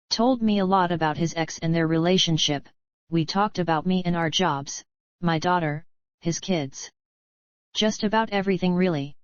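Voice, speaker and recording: A woman reading an online post aloud in steady scripted narration. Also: on the low side, no room sound. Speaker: story reader. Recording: slightly dull lo-fi sound.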